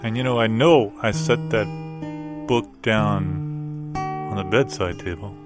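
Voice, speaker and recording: Low, wistful haunted homeowner over loud guitar, man acting. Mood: uneasy, wistful. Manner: halting, wistful, understated. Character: lonely, haunted homeowner, musing uneasily. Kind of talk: improvised spoken monologue over music. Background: guitar music.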